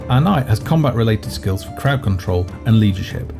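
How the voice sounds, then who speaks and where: A man presenting a video game in scripted walkthrough narration, measured and explanatory. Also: fairly low pitch, lively intonation, gravelly. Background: background music.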